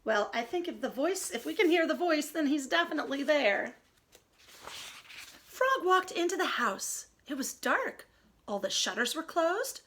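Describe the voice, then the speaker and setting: Female early-years teacher, high-pitched and very animated, reading aloud and explaining simply. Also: measured pace, slight background noise. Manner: expressive, clearly explaining. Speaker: teacher of young children. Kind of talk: read-aloud storytelling and simple instructions for children.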